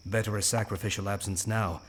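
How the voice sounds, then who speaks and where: An actor playing a loyal knight and royal counsellor in an audio drama, speaking formally and persuasively. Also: male voice, on the low side, steady tone, fairly quick, slight background noise, lightly acted. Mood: earnest. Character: loyal, earnest, firm knight counselling his king.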